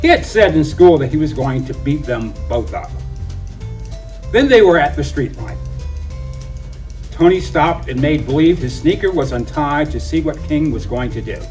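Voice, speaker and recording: A man reading a children's story aloud in an expressive narrating style. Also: fairly high pitch, normal speed. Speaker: storybook reader. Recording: distant mic, music behind.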